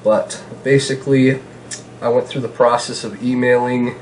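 A man in his thirties with a stuffed-up nose from a cold, hosting a casual gun-gear vlog from his kitchen table, chatty and relaxed with many fillers. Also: medium pitch, moderate pace, slight background noise, poor audio. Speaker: gun channel host. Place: home kitchen, indoors.

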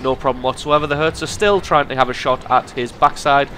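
A man with a cold hosting a gaming channel, commenting on a tank game replay in an amused, lively way. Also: medium pitch, fairly quick, slight background noise. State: has a cold.

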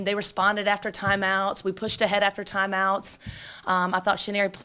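Woman, forties, basketball coach, postgame press conference, quick and energetic, many fillers, table microphone. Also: medium pitch. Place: press room before a sponsor backdrop. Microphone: table microphone in front.

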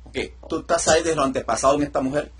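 A middle-aged man leading a deliverance session over a video call, giving calm commands and explaining each step. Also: a little high, very fast. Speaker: deliverance minister. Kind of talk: ministry session with teaching asides. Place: indoor room.

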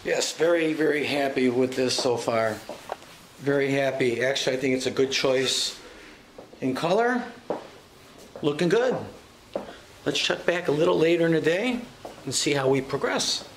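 A man's voice: mid-range voice, expressive, normal speed, long pauses. A man in his fifties, a salon owner, chatting upbeat to a handheld camera as he walks through his salon. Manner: upbeat, chatty, talks to camera while walking. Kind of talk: casual vlog walkthrough. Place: salon interior with hallways. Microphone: handheld camera at arm's length. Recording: echoey room, loud background noise.

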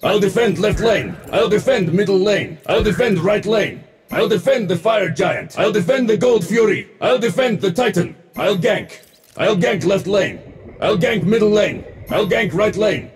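A man's voice: sits high, a little slow, a little hiss or hum. A man voicing a smug, wisecracking futuristic mech-suit warrior for a video game, in punchy, boastful lines. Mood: confident. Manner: boastful, punchy, clipped callouts. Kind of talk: video game voice pack barks.

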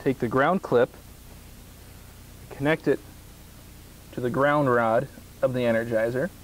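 A man's voice: mid-range voice, fairly even intonation, a little slow, slight background noise, low-quality recording. A man in his thirties giving a plain step-by-step demonstration outdoors in a grassy field, speaking in short phrases while he works. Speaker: product demonstrator.

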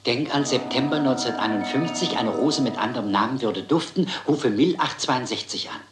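An actor playing a timid, courteous older bachelor sidekick in a German crime radio play, formal and easily flustered. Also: male voice, medium pitch, lively intonation, brisk, roomy sound, lightly acted. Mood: puzzled, mild.

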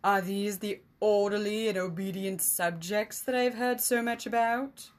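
A woman's voice: mid-range voice, expressive, a little mellow. A woman doing an oily, smug emissary. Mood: mocking. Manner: slow, oily smooth and drawn out. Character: oily, smooth-talking male imperial emissary, smug.

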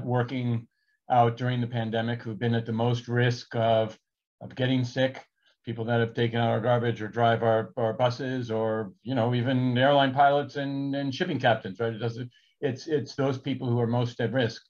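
A man's voice: medium pitch, flat delivery, moderate pace, a little mellow. A middle-aged man on a video call from an office, building a long question step by step. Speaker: panel questioner. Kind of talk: asking a long question in a virtual town hall. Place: office with large windows, indoors. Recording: slightly dull video-call audio.

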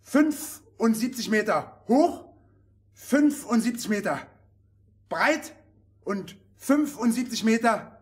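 An excitable tailor from a radio fairy tale. He speaks rather slowly, and his voice swings widely between high and low. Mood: proud.

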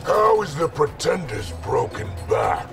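A brutal video game warlord, imperious and menacing. The delivery is slow and gravelly, with big swings in pitch, and music plays behind it. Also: male voice, sing-song, far from the mic, exaggerated voice. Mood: scornful. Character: brutal, arrogant male warlord emperor. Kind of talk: fighting game dialogue.

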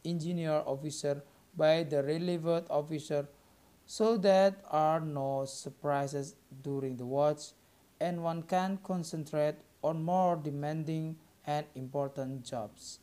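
A young man with an Indonesian accent reads a scripted student presentation aloud, halting word by word. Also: fairly high pitch, dark tone, clear, resonant tone. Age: young adult.

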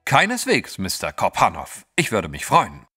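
An actor playing a courteous, formal British gentleman adventurer in an audio drama. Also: fairly low pitch, huge pitch swings, moderate pace, breathy edge, soft background music, heavily acted. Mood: polite, composed. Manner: polite, formal.

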